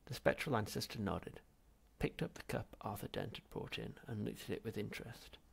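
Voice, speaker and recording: A man narrates a story from a written script. His voice sits a little low, with very heavy creak and a husky, rough grain. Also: animated, moderate pace. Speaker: audiobook reader.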